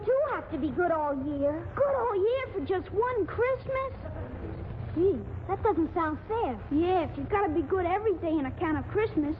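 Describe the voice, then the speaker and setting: A woman playing cheeky, bickering young children in a 1940s radio comedy, with slangy childlike talk. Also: extremely high pitch. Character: cheeky, grumbling young boys and a bossy little girl. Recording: tinny, noisy 1940s radio recording.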